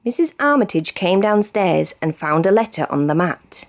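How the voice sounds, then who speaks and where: A British woman narrates a picture book for young children. Her mid-pitched voice swoops widely up and down, giving the read-aloud a highly expressive, animated delivery. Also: normal speed. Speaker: storybook reader.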